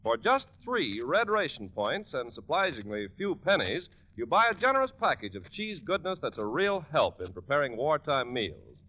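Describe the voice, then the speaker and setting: An American male announcer delivers scripted copy in polished 1940s radio style. His fairly high voice rises and falls emphatically like a salesman's, on a dull, band-limited vintage recording. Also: very animated, normal speed, few pauses.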